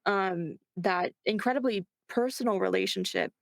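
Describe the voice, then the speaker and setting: A woman's voice: medium pitch, moderate pace, creaky, no room sound. Casual female interviewee, dry close sound. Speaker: interview guest, voice actor. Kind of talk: casual chat, answering interview questions.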